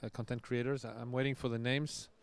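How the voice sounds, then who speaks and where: Guy chatting live, laughs a lot, smooth clear voice. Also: mid-range voice, little pitch movement, fast talker. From France. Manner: chatty, loose, laughs often, restarts sentences. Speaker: tennis coach and live stream host. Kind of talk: casual live commentary and chat.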